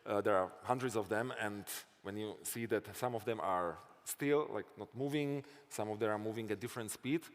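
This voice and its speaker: A man with a Slovak accent, answering audience questions after a conference talk in a thoughtful, halting way, often restarting phrases. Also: fairly low pitch. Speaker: conference speaker. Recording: reverberant conference hall.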